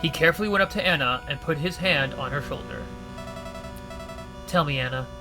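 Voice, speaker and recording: A man narrating a visual novel story in a steady, expressive reading. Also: fairly high pitch, fast. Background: faint music.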